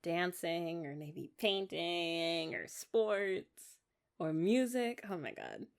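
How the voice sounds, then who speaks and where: A woman's voice: lowish voice, lively intonation, slow, clean tone. A young American woman playing an eager, affectionate wife in an intimate ASMR romance roleplay, rambling and coaxing in a giggly way. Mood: chatty, teasing.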